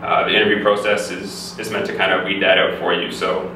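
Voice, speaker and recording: A young man from Canada talks quickly and steadily in a flat, low voice. He sounds like an easygoing student being interviewed. The recording is very echoey, taken from a distance with clear background noise. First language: English. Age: young adult. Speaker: physiotherapy student guest. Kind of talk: casual interview answers.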